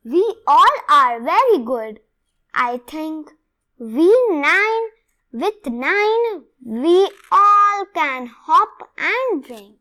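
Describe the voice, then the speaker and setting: A young girl reads a children's rhyming book aloud in a high, lively voice. She goes very slowly, phrase by phrase, with an expressive, deliberate delivery. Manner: expressive, deliberate word-by-word reading in short phrases. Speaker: read-along presenter.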